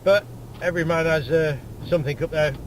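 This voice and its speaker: A man's voice: fairly high pitch, fairly even intonation, brisk. A British man in his sixties, chatting casually as an amateur radio operator over a noisy radio app link. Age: 60s. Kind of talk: casual ham radio conversation.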